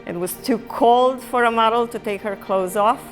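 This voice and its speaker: A woman's voice: on the high side, normal speed, soft background music. A middle-aged woman from Romania, an artist telling her life story in a reflective, plain-spoken way. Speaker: artist and gallery owner. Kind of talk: personal storytelling in a documentary interview.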